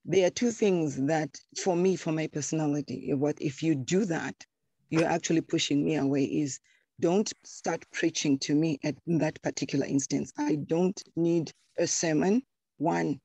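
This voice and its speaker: A woman's voice: low-pitched, moderate pace, warm tone, breathy edge, no room sound. A woman, speaking as a church congregant in a panel discussion, earnest and repeating words as she thinks.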